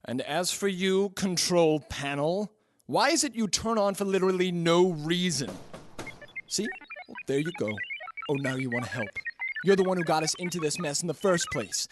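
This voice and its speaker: A man's voice: high-pitched, normal speed, over music, close to an everyday voice. A man playing a hapless, hard-drinking scientist-inventor in an audio drama, ranting with exasperated disbelief.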